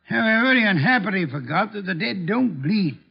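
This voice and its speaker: An actor playing an imperious, shrewd armchair detective, speaking in a deliberate, pompous, dryly mocking way in 1950s American radio mystery style. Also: male voice, sits high, animated, gravelly, husky, heavily acted. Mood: grave, certain. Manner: pompous, deliberate, drawling formal delivery. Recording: dull vintage 1950s radio recording.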